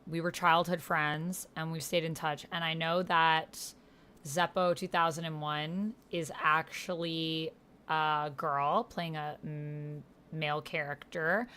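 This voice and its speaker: Low, clear-voiced woman chatting through a game. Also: fairly bright tone. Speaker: host and player of an online text roleplaying game. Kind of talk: casual explanatory chat while playing, with some reading aloud.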